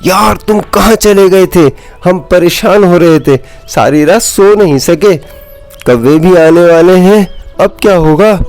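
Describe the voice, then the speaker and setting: A man's voice: high voice, unhurried, a little mellow, soft background music, voice pushed up. A man voicing a worried, imploring small bird in a children's animal story. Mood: anxious. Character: anxious pleading small birds, sparrow and parrot.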